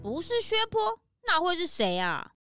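A woman playing a high, bouncy sidekick whose voice swings up and down as she exclaims and orders people around. It is a children's audio mystery with music playing clearly underneath. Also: exaggerated voice. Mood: curious. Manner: animated, quick to exclaim and scold. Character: eager, bossy detective's sidekick.

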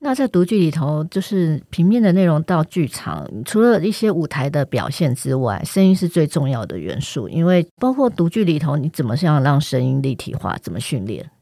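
A woman's voice: low voice, sing-song, brisk, hardly any pauses, warm tone, no room sound, studio quality. A woman with a Taiwanese Mandarin accent, interviewing guests conversationally as a podcast host.

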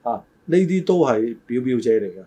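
A man's voice: medium pitch, lively intonation, normal speed, warm tone, slightly rough. An older man, co-hosting a casual chat and reminiscing in a hesitant, roundabout way. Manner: hesitant, roundabout, hedging his recollections. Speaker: talk show co-host.

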